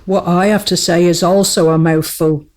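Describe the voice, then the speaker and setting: A woman's voice: lowish voice, steady tone, normal speed, darkish tone, natural voice. A woman playing a small, witty court jester in a radio play, speaking in a wry, mock-courtly way. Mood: confiding, grave.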